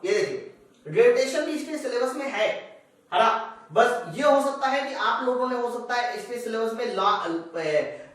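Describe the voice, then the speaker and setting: A man's voice: very high voice, a bit bright. A man teaching an exam class conversationally, asking questions and answering them himself while addressing students directly. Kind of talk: live classroom-style lesson, working through physics problems. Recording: very echoey room, distant microphone.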